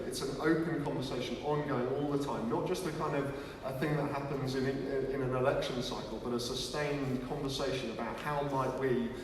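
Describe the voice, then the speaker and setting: A man's voice: mid-range voice, recorded from a distance, slight background noise. Man presenting in an echoey hall. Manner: energetic, many restarts and fillers. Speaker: presenter at a launch event. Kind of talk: live presentation to an audience. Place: large museum hall.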